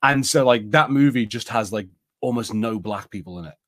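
A man's voice: lowish voice, moderate pace, a bit bright, no echo, clean studio sound. A man with an English accent co-hosting a podcast, talking casually in a film and TV review discussion. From England. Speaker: podcast co-host.

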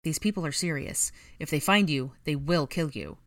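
A woman's voice: deep voice, brisk, no echo, clean studio sound, close to an everyday voice. A woman voicing a serious young male wizard who leads and gives urgent orders, in a storybook reading. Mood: grave, dark. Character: grave, commanding young male wizard leader.

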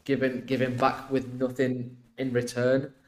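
A man's voice: medium pitch, monotone, unhurried. Chatty young man in conversation. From Iraq until age eight, then UK. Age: 20s. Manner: rambling, emphatic explaining with frequent "like" and "right?". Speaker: podcast guest. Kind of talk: casual podcast conversation.

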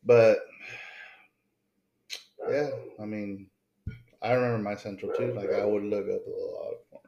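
A man with a fairly low voice trades deadpan jokes on a podcast and swears often and freely. His speech bounces in a roomy, echoey space. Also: unhurried, vocal fry, lo-fi sound, very noisy. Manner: joking, swears a lot, deadpan quips. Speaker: podcast co-host. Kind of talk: casual chat. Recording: echoey room.

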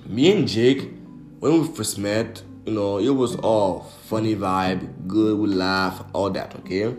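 A man's voice: fairly low pitch, slow, a little mellow. A young man from Haiti hosting a podcast, telling a story in a loose, casual way with lots of fillers and swearing. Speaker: podcast host. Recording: echoey room with faint guitar music.

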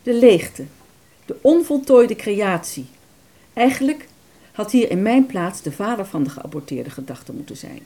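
A woman in a Dutch radio play voicing an aborted idea, a bitter vanished thought, telling its tale dramatically with slow emphases. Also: mid-range voice, very animated, mellow, no room sound. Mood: rueful.

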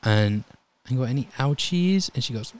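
A young man telling EMT stories in a relaxed, calm voice during a casual chat. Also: mid-range voice, moderate pace. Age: young adult. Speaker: guest, EMT volunteer.

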